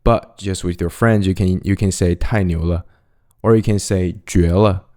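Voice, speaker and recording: A young man whose first language is Mandarin Chinese gives a relaxed, casual language lesson. He repeats each example phrase twice and chuckles lightly now and then. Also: low-pitched, moderate pace. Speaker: language teacher.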